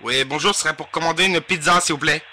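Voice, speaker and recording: A man hosting a live webradio prank show, talking in a crude, slangy, excitable way. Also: on the high side, fast, a little hiss or hum. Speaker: webradio host and prank caller. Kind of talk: informal live radio prank-call show banter. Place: webradio studio.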